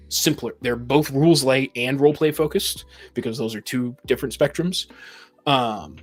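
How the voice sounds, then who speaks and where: A man on a podcast panel giving his opinions in a casual, assertive, explanatory way. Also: mid-range voice.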